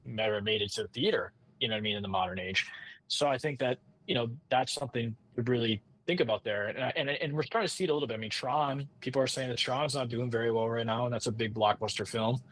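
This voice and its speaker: A man's voice: mid-range voice, fast talker, fairly bright tone, poor audio. A man chatting casually as an interview guest, informal and rambling, often circling back to restate his phrases. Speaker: composer, podcast guest. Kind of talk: casual conversational interview talk.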